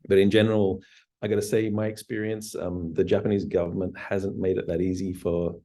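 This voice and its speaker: A man's voice: low-pitched, level tone, fairly quick, dark tone. A man in his forties, a company founder answering interview questions in a hesitant, reflective way, full of fillers and restarts. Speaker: startup CEO guest.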